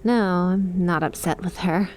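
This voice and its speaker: A woman's voice: on the low side, a little slow, darkish tone, a little hiss or hum, lightly acted. A woman playing an affectionate young snake-girl best friend in an ASMR roleplay, teasing and chummy as she talks to the listener. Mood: sincere, chatty.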